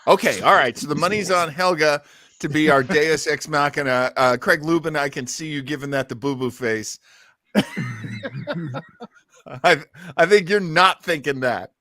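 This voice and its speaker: A man's voice: a little high, moderate pace, pushed and bright, no room sound, clean studio sound. A man hosting a listening party with casual, joking commentary in a wry, teasing way.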